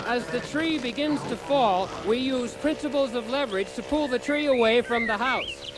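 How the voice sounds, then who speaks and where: A man's voice: very high-pitched, moderate pace. An actor playing a cheerful, accident-prone handyman husband who hosts a spoof do-it-yourself radio show, narrating like a mock-earnest instructor. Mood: straining, alarmed. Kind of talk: scripted comic instructional narration in radio theater. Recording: clearly noisy, busy effects behind.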